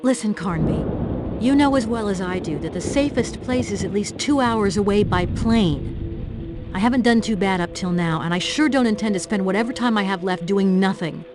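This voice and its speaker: A determined, independent young heroine from a video game, voiced by a woman. She talks firmly at a middling pitch, sure of herself, with music clearly playing behind her. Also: normal speed, close to an everyday voice. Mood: resolute. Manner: firm, self-assured. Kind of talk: video game cutscene acting.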